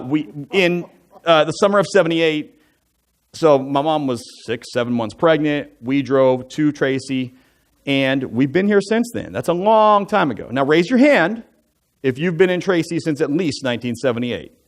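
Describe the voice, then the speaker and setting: Chatty man telling his life story. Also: a little high. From United States. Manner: conversational and self-deprecating, with asides and joking fun facts. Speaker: church elder giving a testimony. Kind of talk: personal testimony talk from the pulpit. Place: church sanctuary.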